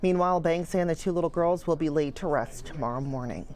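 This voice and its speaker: A woman's voice: low-pitched, fast, darkish tone, slight background noise. An American woman giving a scripted live news report from a newsroom.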